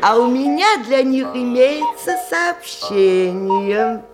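An actress playing a genteel provincial widow in a radio play, narrating in a chatty, ornate, old-fashioned way. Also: female voice, a little high, animated, slow, music in the background. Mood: solemn announcement. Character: genteel provincial widow hostess of nineteenth-century Russia.

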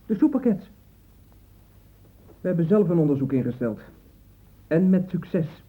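A man's voice: a little high, very animated, measured pace. An actor playing a proud, defiant young man under questioning in a radio play, cool, curt and self-assured. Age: young adult. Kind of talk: radio play acting in Dutch. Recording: muffled, phone-like old radio recording.

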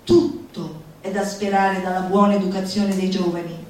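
A woman's voice: mid-range voice, level tone, moderate pace, a little mellow, close to an everyday voice. A woman playing a zealous lecturer, declaiming a comic manifesto in an emphatic, mock-solemn theatrical manner. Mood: fiery exhortation. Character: zealous female lecturer preaching gleeful laughter at misfortune. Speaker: stage performer. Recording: roomy echoing live venue, distant mic, faint noise.

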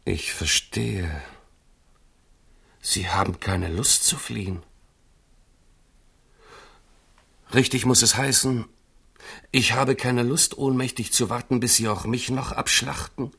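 A man's voice: mid-range voice, a little slow, vocal fry, sharp tone, slightly rough, heavily acted. An actor playing a young king's loyal, scheming companion, insistent and rhetorical, in a radio play. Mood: urgent. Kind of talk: 1970s radio play acting.

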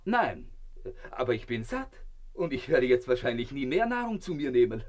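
A man's voice: medium pitch, big pitch swings, normal speed, natural voice. An actor in a radio play voicing a vain, blissfully self-satisfied man who lectures pompously from inside a crocodile. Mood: smug, lecturing. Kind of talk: theatrical radio play acting.

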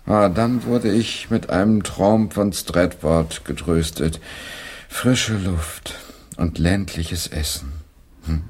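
An actor playing a weary, grumbling middle-aged English playwright in a German radio play, hesitant and complaining. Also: male voice, low-pitched, a little mellow, slight background noise. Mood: complaining, sleepless. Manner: slow, hesitant, complaining, dramatic.

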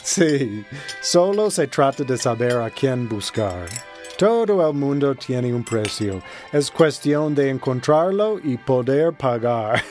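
A man's voice: medium pitch, big pitch swings, slow, darkish tone, faint music behind. An actor playing a rich, cynical, pushy businessman in a radio drama, insistent and calculating. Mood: cynical, smug.

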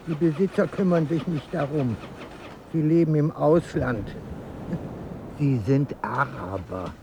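An actress playing a brisk, matter-of-fact lighthouse keeper's wife in a radio drama. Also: female voice, deep voice, background noise.

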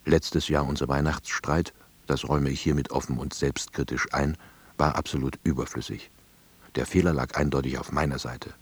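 A man's voice: extremely low pitch, fairly even intonation, moderate pace, husky, no room sound, voice pushed down. A man playing a pompous bureaucrat's inner voice, dictating formally and officiously in a radio play.

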